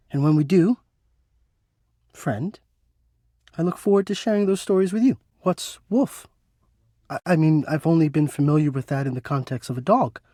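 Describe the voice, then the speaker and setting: A man's voice: on the high side, warm tone, dry recording, studio quality. An actor playing a shy, insecure, lovestruck male recluse in a video game, speaking hesitantly with stammers and formal, genteel phrasing. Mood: bittersweet, grateful, then confused, wounded. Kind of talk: expressive video game voice acting.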